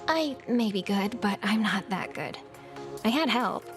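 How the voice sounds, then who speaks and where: A woman's voice: medium pitch, lively intonation, measured pace, music in the background, close to an everyday voice. An actress voicing a young hacker revolutionary in a video game cutscene, confiding and dryly sardonic, with swearing in her speech. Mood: regretful, guarded.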